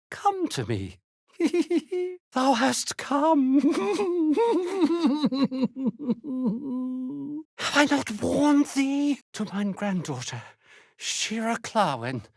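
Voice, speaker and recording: A woman's voice: sits high, sing-song, very slow, vocal fry, pauses often, a little mellow, no room sound, clean studio sound, heavily acted. A woman playing a cursed, mad old grandmother in a video game, with archaic courtly speech and compulsive laughter. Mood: manic, pleading. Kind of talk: video game character dialogue.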